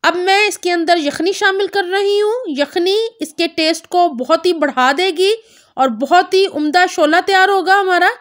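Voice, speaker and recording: Woman, very high voice, cooking host, calm recipe narration, careful measures. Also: normal speed. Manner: calm instructive, naming measures. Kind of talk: step-by-step recipe voice-over.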